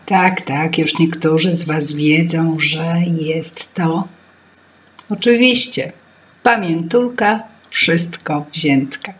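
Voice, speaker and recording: A woman in her fifties narrating a children's fairy tale in an expressive, theatrical, deliberate way. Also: sits low, dull audio, a little hiss or hum. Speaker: storyteller. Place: indoor room with paintings.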